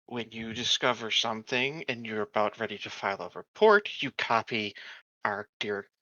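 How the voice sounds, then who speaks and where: A man's voice: on the low side, expressive, moderate pace, bright, forceful tone, dry recording. A man talking casually as a player in a tabletop game, on a slightly dull microphone.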